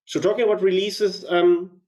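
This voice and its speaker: Male webinar host from Germany, voice strained by a cold, measured delivery, lots of ums and restarts. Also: high-pitched, level tone, darkish tone, low-quality recording. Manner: measured, with frequent fillers and restarts. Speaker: webinar host, company CEO. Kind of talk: software product webinar presentation.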